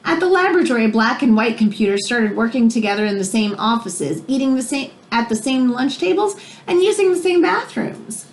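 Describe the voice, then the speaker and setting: A woman reading a children's book aloud in an expressive way, as if explaining it to young listeners. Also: fairly high pitch, fairly quick, slight background noise. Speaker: read-aloud storyteller.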